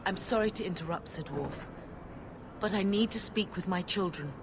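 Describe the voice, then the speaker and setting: A woman's voice: fairly low pitch, moderate pace, background noise, close to an everyday voice. An actress playing an anxious, loving older mother in a video game, pleading earnestly. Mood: polite, worried. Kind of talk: video game cutscene acting. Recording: loud music behind dialogue.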